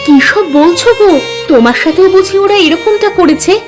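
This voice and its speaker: A woman's voice: extremely high pitch, expressive, moderate pace, over music. A woman voicing a proud, plain-spoken poor village wife in a cartoon drama. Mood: sympathetic.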